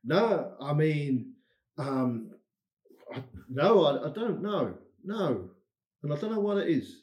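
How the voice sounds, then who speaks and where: A man's voice: a little high, big pitch swings, slow, darkish tone, echoey room. An English man with an English accent, a teacher being interviewed, rambling with a self-deprecating humor and frequent restarts. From England. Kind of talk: casual interview chat.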